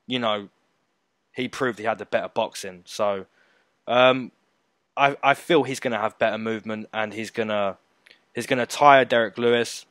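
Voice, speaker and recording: A man co-hosting a fight prediction show, giving emphatic, animated opinions in casual chat. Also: lowish voice, normal speed, no echo, clean studio sound. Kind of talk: casual betting breakdown chat.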